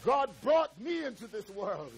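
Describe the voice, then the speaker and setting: A man in his seventies, from Trinidad, preaching a sermon on a church stage through a headset mic, stretching words and breaking into shouted exclamations. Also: extremely high pitch, big pitch swings, a little slow, vocal fry, slightly rough, rough recording. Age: 70s. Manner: moves and gestures around the pulpit. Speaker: pastor. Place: church stage with drum kit.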